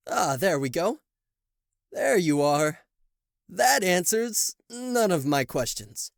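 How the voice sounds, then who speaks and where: A man's voice: high voice, big pitch swings, takes it slowly, gravelly, no echo, studio quality, voice pushed up, exaggerated voice. A man voicing a theatrical, sarcastic demon king of hell in a roleplay audio drama, proud and showy in manner. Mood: sarcastic, incredulous. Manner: sarcastic, showy, stammering in disbelief. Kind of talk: roleplay audio drama acting addressed to the listener.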